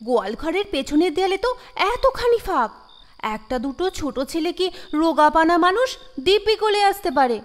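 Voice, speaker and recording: A woman's voice: very high-pitched, very animated, normal speed, pitched above the performer's own voice. A woman narrator voicing an alarmed, gossipy neighbour wife who exclaims and scolds with concern in an audio story. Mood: alarmed scolding. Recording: long reverb over the voice.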